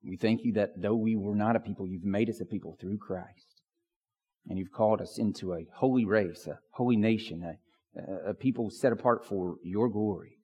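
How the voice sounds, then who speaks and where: A man preaching as a pastor, with a hoarse voice and lots of fillers and restarts. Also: fairly low pitch, normal speed, warm tone. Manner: filler-heavy, restarts often. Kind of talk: prayer and sermon. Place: church sanctuary. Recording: long church reverb.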